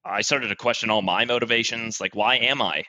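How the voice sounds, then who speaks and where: A man's voice: mid-range voice, fairly quick, bright, forceful tone, no room sound. A man appearing as a guest critic on a comedy podcast, giving casual mock-serious feedback.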